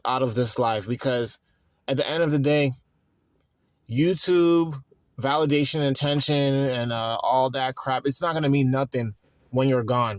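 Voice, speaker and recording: A man in his thirties, tired and stressed, talking in a rambling, halting way with frequent restarts in a reflective personal monologue. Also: medium pitch, dry recording, studio quality. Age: 30s. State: tired and worn out. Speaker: vlogger.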